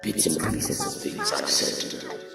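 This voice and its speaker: A woman voicing a cold, calculating male skeleton scientist who narrates an experiment log in a measured, clinical manner for a comic dub. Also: extremely low pitch, huge pitch swings, gravelly, heavily acted. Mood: clinical, troubled. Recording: cavernous long reverb, distant, under music.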